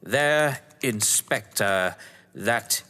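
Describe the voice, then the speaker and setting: A man voicing a pompous, majestic English great detective, booming and deliberate, in a dramatic read-aloud of detective fiction. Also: lively intonation, bright, forceful tone, slight background noise, heavily acted. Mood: triumphant, pompous. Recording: long reverberant hall echo.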